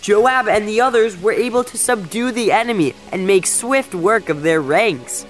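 A man's voice: sits high, moderate pace, close to an everyday voice. A man playing an eager, deferential messenger to a king in a dramatized audio Bible story, speaking formally over music. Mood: joyful. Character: eager, gleeful male messenger, loyal and deferential.

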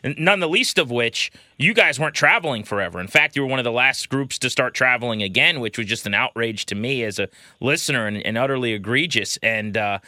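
A man's voice: medium pitch, a little fast, bright, forceful tone, no echo, studio quality. A man co-hosting a sports talk show, speaking conversationally in an emphatic, opinionated way.

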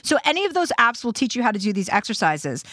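A woman's voice: on the high side, sing-song, quick pace, fairly bright tone, no echo. A woman co-hosting an advice chat, blunt and encouraging, swearing freely as she reassures a caller. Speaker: advice show co-host.

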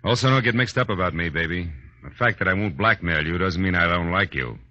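An actor playing a tough, wisecracking private detective in a 1940s radio drama, sardonic, clipped and shrewd. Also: male voice, low-pitched, brisk, no echo, natural voice. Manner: sardonic, hard-boiled, clipped. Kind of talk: 1940s radio detective acting, first-person narration. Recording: dull, dry vintage 1940s radio recording.

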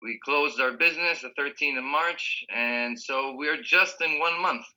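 A man whose first language is Spanish, a guest chatting casually over a call from home, relaxed and restarting his words. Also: on the high side, unhurried, poor audio. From Rio de la Plata region. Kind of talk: casual remote interview chat. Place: home in Spain.

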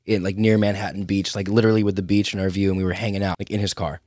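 A man's voice: low-pitched, level tone, rapid-fire, darkish tone, no echo, clean studio sound. A man in his thirties, a podcast guest, talking in a laid-back, slangy way with many restarts. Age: 30s. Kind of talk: casual chat.

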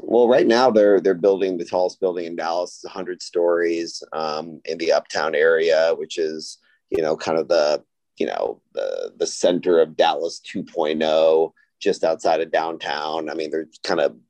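A man with an extremely low voice answers as an interview guest. He talks conversationally, circling through asides and pauses. The recording is dry and close. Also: darkish tone, no room sound. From Austin, Texas. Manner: hesitant phrasing, frequent "you know" asides. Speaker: interview guest, real estate company founder.

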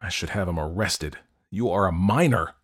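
A man's voice: sits low, normal speed, slightly rough. Man acting an angry, forbidding father, emphatic. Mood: seething. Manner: pounding each word separately, emphatic. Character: angry, stern, forbidding father of a teenage girl. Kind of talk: audiobook character acting.

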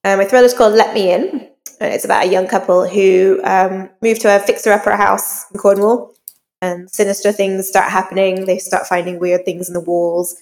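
A woman's voice: mid-range voice, flat delivery, moderate pace, darkish tone, long reverb tail. A woman talking conversationally as a guest author in an interview.

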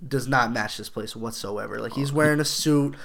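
A man's voice: medium pitch, normal speed, vocal fry, a loud crowd. A young man telling a long story on a podcast, rambling with many fillers, swearing and laughing often. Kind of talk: casual chat.

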